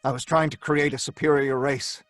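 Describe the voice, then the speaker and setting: An actor playing a tormented, secretive scientist in an old-time radio drama, speaking in a grave, deliberate, dramatic way. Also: male voice, medium pitch, flat delivery, a bit bright, no echo, faint music behind, close to an everyday voice. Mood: grim confession. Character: tormented male scientist, guilt-ridden, secretive and defiant. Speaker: lead character and narrator.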